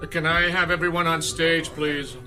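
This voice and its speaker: An actor playing an authoritative, formal theatre director in an audio drama. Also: male voice, fairly high pitch, level tone, normal speed, over music, natural voice. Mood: commanding. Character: authoritative male theatre director running a rehearsal.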